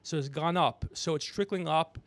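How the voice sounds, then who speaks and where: A man's voice: a little high, normal speed, dry recording. A man hosting a livestream in a rambling, casual, joking way, chatting to someone off mic as he goes. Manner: rambling, joking, self-promoting, talks to off-mic crew member. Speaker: podcast host and comedian. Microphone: podcast microphone with headphones.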